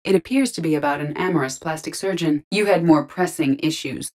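The onboard computer of a video game starship, acted by a woman: a low, measured female machine mind, curious and logical, briefing the crew with clinical formality. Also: a little mellow, natural voice. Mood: composed, analytical. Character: calm, logical, curious female artificial intelligence in a robot body. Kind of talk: video game character voice lines.

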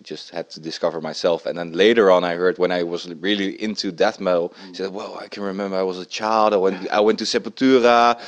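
A man's voice: deep voice, fairly even intonation, brisk, few pauses, highs cut off. A man, a band member, giving earnest opinions in a backstage interview. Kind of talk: casual interview answers. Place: festival backstage room, indoors.

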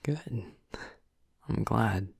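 Low, breathy, gentle vampire boyfriend, acted by a man. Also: extremely slow, no echo, clean studio sound, exaggerated voice. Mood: gentle interest. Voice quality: breathy, husky, often near a whisper. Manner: gentle, hesitant, softly attentive. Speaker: audio roleplay performer. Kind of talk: intimate audio roleplay, ASMR-like.

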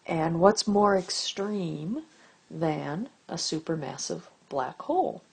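A woman giving an explanatory lecture as a teacher, phrasing deliberately and stressing key words. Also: fairly low pitch, animated, creaky, husky. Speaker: astronomy lecturer. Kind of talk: explanatory lecture over slides.

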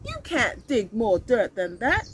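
A woman storyteller voices a grumbling, hard-as-nails old man from a picture book. The delivery is gruff and scolding, with lively swings in pitch, recorded outdoors over faint noise. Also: unhurried.

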